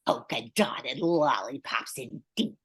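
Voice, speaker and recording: Woman as husky, animated munchkin narrator. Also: extremely low pitch, slow, full character voice. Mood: exasperated, scolding. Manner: theatrical, scolding. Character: bossy, exasperated munchkin narrator and announcer. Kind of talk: amateur radio play narration.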